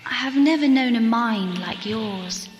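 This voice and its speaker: A woman's voice: a little high, measured pace, dull audio, natural voice. A woman playing a serene, intense alien in an audio drama, speaking with solemn, poetic phrasing. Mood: earnest. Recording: echoey long reverb with loud music behind.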